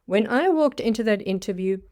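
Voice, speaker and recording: A woman's voice: mid-range voice, animated, fairly quick, warm tone. South African woman, 60s, career coach delivering a dramatic scripted personal story. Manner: dramatic storytelling with acted-out quotes.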